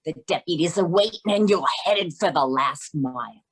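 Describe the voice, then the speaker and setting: A woman's voice: deep voice, expressive, moderate pace. A woman playing a tough, mocking prison guard in an old-time radio drama.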